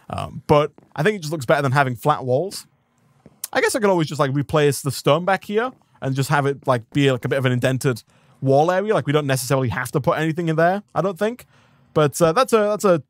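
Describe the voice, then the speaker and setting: A rapid-fire male streamer with a fairly high voice, chatting along as he plays. His delivery is upbeat and rambling, with a slight hoarseness, and the recording is close and dry. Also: no room sound. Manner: rambling, upbeat, thanks viewers by name. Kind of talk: live gameplay stream commentary.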